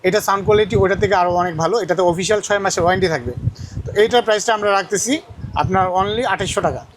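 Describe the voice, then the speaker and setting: Fast-talking, high-voiced Bangladeshi salesman. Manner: repeats phrases and prices. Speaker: electronics shop seller. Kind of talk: informal product demonstration. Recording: clearly noisy audio with clicks.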